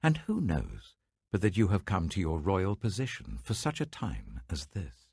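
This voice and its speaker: A man giving a measured, dramatic reading of Bible passages in a close studio recording. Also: husky, dry recording. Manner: measured, dramatic, lively pitch shifts. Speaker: Bible reader. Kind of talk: expressive scripture reading.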